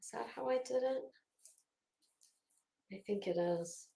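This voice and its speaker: Lady streamer w a kinda high voice, super echoey room, chatty n rambly, thinks out loud while reading stuff. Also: unhurried, creaky. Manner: informal, rambling, thinking aloud, hesitant. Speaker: livestream host. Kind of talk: casual live commentary mixed with reading legal documents aloud.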